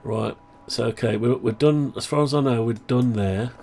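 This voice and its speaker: A man talking through a project update on his own software, rambling and thinking aloud, voice groggy from the flu. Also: medium pitch, normal speed, a little hiss or hum. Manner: rambling, thinking aloud, frequent throat clearing. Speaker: hobbyist maker showing his own software. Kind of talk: casual screen-share project update.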